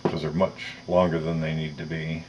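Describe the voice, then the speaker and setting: A man's voice: rumbling low, flat delivery, normal speed, a little mellow, highs cut off, slight background noise. A man talking through hands-on work step by step, explaining each detail methodically as an instructor. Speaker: electronics tutorial presenter.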